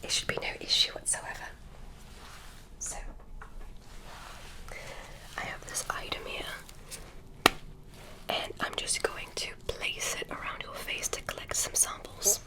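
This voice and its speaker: A woman voicing a curious alien examiner who tests a human, speaking in a soft, clinical ASMR whisper. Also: slow, pauses often, close to an everyday voice, poor audio. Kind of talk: ASMR medical roleplay.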